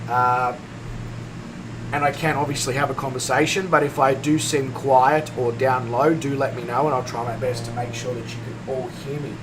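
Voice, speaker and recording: A hoarse Australian man recovering from a lost voice, chatting casually with viewers while live-streaming a flight simulator. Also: medium pitch, fairly even intonation, normal speed, far from the mic, noisy background. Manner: chatty, greets viewers, thinks aloud with many fillers. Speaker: game streamer. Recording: a bit off-mic with music behind.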